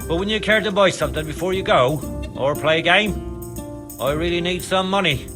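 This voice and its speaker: An actor playing an exasperated, hard-up medieval church painter in a video game, grumbling wryly. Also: male voice, high voice, fairly quick, close to an everyday voice. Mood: pleading, coaxing. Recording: music behind the voice.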